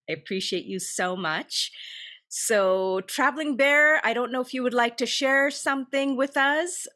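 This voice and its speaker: A woman's voice: high-pitched, a little slow, pushed and bright. A woman hosting a podcast, talking in a gushing, effusive way and stretching words for emphasis. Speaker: podcast host. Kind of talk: casual chat.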